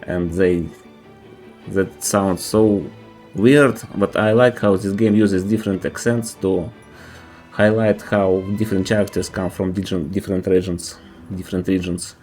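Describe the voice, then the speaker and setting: A man's voice: deep voice, fairly even intonation, a little slow, a little mellow, faint music behind. A man with a Russian accent, a native Russian speaker, streaming a game and chatting thoughtfully, often stopping to restart his sentences. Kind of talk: casual gameplay commentary.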